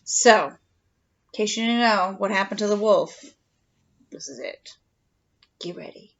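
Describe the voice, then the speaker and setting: A woman's voice: mid-range voice, measured pace, creaky. A woman, a mother, chatting casually and playfully as she reads a children's storybook aloud. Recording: dull home recording.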